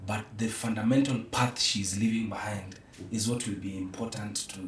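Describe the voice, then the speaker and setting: A man's voice: on the low side, fairly even intonation, moderate pace, a little hiss or hum. A man with a Kenyan accent in reflective conversation, speaking emphatically and philosophically and slipping between English and Swahili. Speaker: podcast guest, theatre practitioner. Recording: roomy, echoey, distant from mic.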